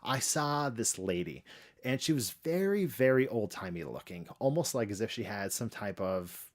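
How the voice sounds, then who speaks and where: Man, fairly low voice, casual anecdote teller, emphatic and sweary delivery. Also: animated, moderate pace. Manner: emphatic, profane. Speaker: listener telling a personal ghost story. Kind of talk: casual spoken storytelling.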